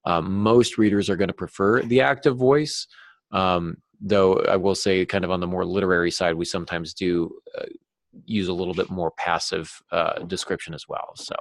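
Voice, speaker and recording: Deep, gravelly man on a podcast. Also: steady tone, moderate pace. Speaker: podcast co-host and novelist. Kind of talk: casual podcast discussion.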